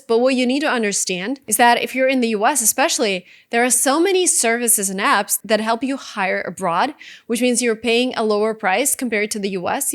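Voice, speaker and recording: A woman, giving casual, emphatic, conversational advice as a video creator. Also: fairly high pitch. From Russia. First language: Russian. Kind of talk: casual talking-head advice vlog.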